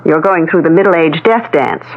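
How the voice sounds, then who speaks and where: An actress playing a composed but jealous middle-aged wife, knowing and foreboding, in a 1970s radio drama. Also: female voice, deep voice, expressive, normal speed. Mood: knowing, reproachful. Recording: thin, narrow-band 1970s radio recording.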